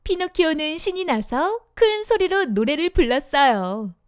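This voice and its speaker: Woman narrator, children's story, high, animated, dry. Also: measured pace, no room sound. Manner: sing-song, expressive.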